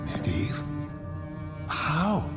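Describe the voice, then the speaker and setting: A man playing an earnest, resolute older archmage in a video game cinematic, with grave, measured, theatrical delivery. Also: a little high, wildly swooping pitch, heavily acted. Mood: astonished, pleading. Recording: loud music under the voice.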